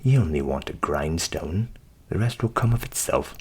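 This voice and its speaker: Man doing low, husky, wily tradesman. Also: lively intonation, normal speed, heavily acted. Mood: coaxing. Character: blithe, wily scissor grinder, storybook tradesman.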